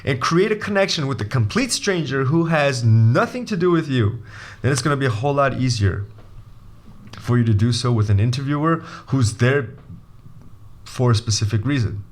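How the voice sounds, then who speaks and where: A young man from Brazil giving a casual video lesson as an MBA admissions coach, animated and jokey, often restarting his sentences. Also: medium pitch, normal speed.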